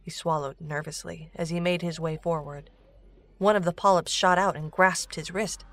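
A woman's voice: on the low side, fairly even intonation, normal speed, a bit bright. A woman narrator reading a scripted story for an audio drama. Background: music.

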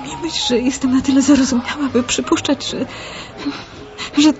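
An actress playing an unhappy, gentle young woman in love, speaking hesitantly in a radio drama. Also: high voice, steady tone, lightly acted. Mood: hesitant, earnest. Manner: hesitant, halting. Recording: slightly dull audio with music underneath.

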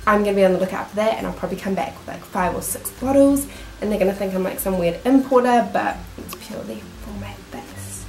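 A woman's voice: medium pitch, darkish tone. A young woman with a New Zealand accent, nasal from a cold, chatting enthusiastically through a skincare product review while seated in a small room. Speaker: beauty vlogger. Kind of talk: casual product review talk. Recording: roomy echo.

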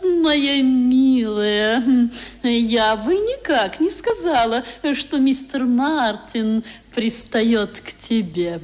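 Prim, catty young sister, rather high voice, very drawn-out mannered delivery, period radio drama. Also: female voice, expressive, a little mellow. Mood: catty, teasing. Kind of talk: 1970s Soviet radio play acting.

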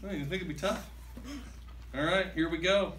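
A man in his thirties reads a picture book aloud to young children in an expressive, playful way, with chatty asides. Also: a little high, moderate pace, far from the mic. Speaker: guest reader for a class of young children. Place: school classroom, standing before seated children. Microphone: distant camera microphone. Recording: echoey classroom from afar, faint noise.

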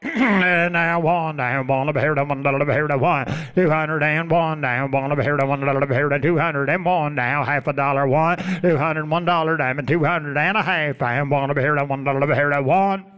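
A man working as a livestock auctioneer, calling bids in a fast rhythmic chant with rolling filler words. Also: fairly high pitch, fairly bright tone, smooth. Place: auction studio.

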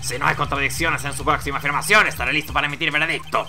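A man voicing a stern old judge in a courtroom video game, speaking in a measured, formal manner. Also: fairly high pitch, steady tone, pushed and bright, faint music behind. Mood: solemn.

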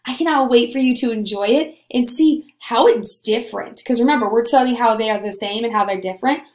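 A woman in her twenties teaching young children in an upbeat, animated, encouraging way on a video lesson. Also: on the high side, brisk, echoey room. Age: 20s. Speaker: primary school teacher.

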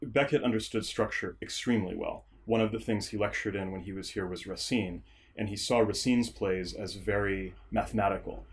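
A man speaking as an interview guest, giving measured, articulate analysis as a theatre scholar and director. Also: fairly low pitch, slight background noise. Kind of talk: thoughtful analytical interview talk.

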